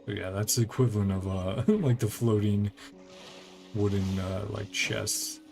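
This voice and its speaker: Male game streamer: casual, rambling play-by-play with a gravelly edge, music clearly audible underneath. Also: on the low side, measured pace. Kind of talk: casual gameplay commentary.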